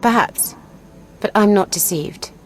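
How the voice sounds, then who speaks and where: A woman playing a proud, vengeful young noblewoman in a fantasy video game, speaking earnestly and deliberately. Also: mid-range voice, soft background music, natural voice, rough recording. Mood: frank, challenging. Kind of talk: video game dialogue acting.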